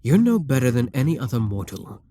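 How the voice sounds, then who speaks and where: A man playing an arrogant, seductive demon king in a dramatic ASMR roleplay, speaking in a deliberate, commanding, theatrical way. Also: medium pitch, sing-song, mellow, lightly acted. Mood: frustrated, scornful.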